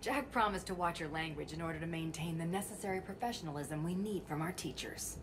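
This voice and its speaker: Game role: actress as proper, protective woman teacher, precise diction, fast delivery, noisy ambience. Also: lowish voice, close to an everyday voice. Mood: prim. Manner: formal, precise wording. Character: earnest, proper, protective female academy teacher. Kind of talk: video game voice acting.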